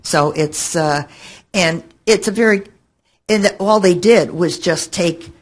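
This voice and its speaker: An older woman recalling things informally on a panel, halting as she searches for her words. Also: lowish voice, husky. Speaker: panelist, fan and researcher of an old radio show. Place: indoor hotel conference room. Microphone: table microphone on stand. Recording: noisy hotel meeting room.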